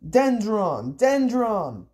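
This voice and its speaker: A man's voice: high voice, animated, unhurried, warm tone, voice pushed up. A man voicing an angry, scared, stubborn teenage boy in an audiobook, indignant and demanding. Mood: indignant, shouting.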